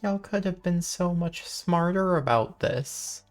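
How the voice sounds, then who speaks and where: A woman's voice: fairly low pitch, slow, faint music behind. A woman giving casual live commentary as she plays through a video game. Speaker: game streamer.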